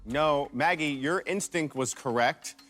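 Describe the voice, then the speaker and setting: A man hosting a game show, reading rules and questions in an energetic announcer style. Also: on the high side, a little slow. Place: TV studio. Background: background music.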